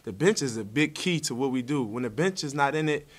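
A man's voice: medium pitch, lively intonation, brisk. Young man, easygoing post-game answers. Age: young adult. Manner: upbeat, easygoing, casual slang. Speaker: college basketball player. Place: press conference room, seated at table. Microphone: table mic in front.

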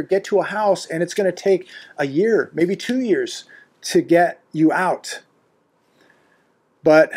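Fifties male vlogger, seated indoors at home, alarmed commentary; emphatic, repetitive, lots of fillers, slightly higher voice. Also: darkish tone, slightly rough. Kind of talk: unscripted news commentary monologue. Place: living room indoors.

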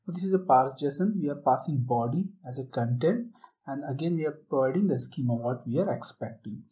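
Mellow-voiced man explaining a software demo. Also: medium pitch, expressive, brisk, highs cut off. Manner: explanatory, step by step, with fillers. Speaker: tutorial presenter. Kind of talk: screen-share software tutorial.

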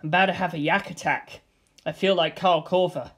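A brash male bullet creature who lives for getting wasted, read by a man. He tosses off crude lines bluntly in a fairly high voice. The delivery stays close to an everyday reading voice. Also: fairly even intonation, brisk, a bit bright. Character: reckless male living bullet, hard-partying addict.

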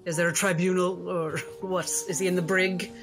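A woman's voice: fairly low pitch, level tone, moderate pace, lightly acted. A prim, genteel doctor in a fantasy tabletop game, acted by a woman. The manner is polite and insinuating, with music under the voice. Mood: sweetly insinuating.

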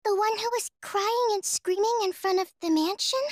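A woman's voice: very high voice, moderate pace, no room sound, studio quality. A woman voicing a small, worried, curious little girl in an anime dub.

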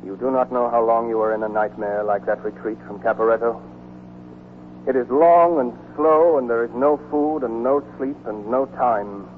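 An actor as a war-weary soldier, old tinny radio. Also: male voice, mid-range voice, natural voice. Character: weary American army deserter narrating his wartime love story. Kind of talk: 1940s radio drama acting, scripted narration. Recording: muffled, noisy 1949 radio broadcast recording.

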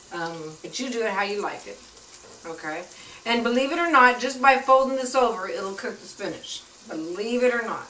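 A woman's voice: medium pitch, a little hiss or hum. A woman hosting a home cooking show, chatty and folksy, often checking in with "okay?" as she demonstrates, with pans sizzling behind her. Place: home kitchen. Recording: echoey kitchen, voice from far off, loud cooking clatter. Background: sizzling pans and clinking utensils.

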